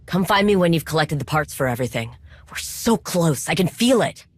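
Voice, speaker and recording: A woman's voice: low voice, expressive, a little fast, husky, dry recording. A woman playing an earnest, anxious conspirator in a video game, speaking in an urgent, conversational way. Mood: hopeful, anxious. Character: earnest, anxious conspirator planning a risky con. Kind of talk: video game cutscene acting.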